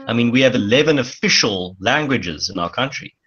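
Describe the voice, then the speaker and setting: A man's voice: mid-range voice, animated, brisk, fairly bright tone, husky. A South African man, a native Afrikaans speaker, explaining things as a podcast guest with many hesitations and restarts. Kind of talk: casual podcast discussion. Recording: dull lo-fi sound.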